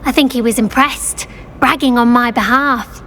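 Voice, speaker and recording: A woman's voice: a little high, steady tone, moderate pace, a bit bright. A woman playing a studious, earnest teenage witch in a video game, courteous and well-spoken with a British accent. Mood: earnest, polite. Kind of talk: video game cutscene acting. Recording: faint background ambient noise.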